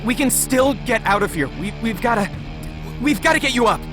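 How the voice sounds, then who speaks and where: High animated male voice, man playing nervous young scientist, stammering, flustered, visual novel acting. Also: over music, low-quality recording. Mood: anxious. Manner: stammering, halting.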